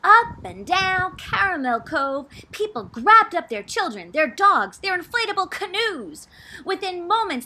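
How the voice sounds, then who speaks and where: A woman reads a picture book aloud in a bright, high voice. Her pitch swoops widely as she stresses words for drama, like a teacher performing for a class. The delivery is theatrical and expressive. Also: poor audio. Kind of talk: expressive storybook read-aloud.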